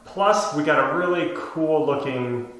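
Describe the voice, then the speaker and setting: A man's voice: a little high, a little slow, fairly bright tone, slight background noise. A man in his thirties talking casually to camera, explaining things as a home renovation vlogger. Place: empty bedroom under renovation, indoors. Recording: very echoey empty room.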